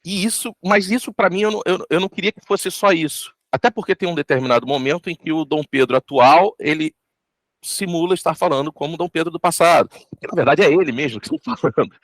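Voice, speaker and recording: A man's voice: a little high, fast talker, no echo. A Brazilian man, a voice actor, answering an interview reflectively and searching for his words.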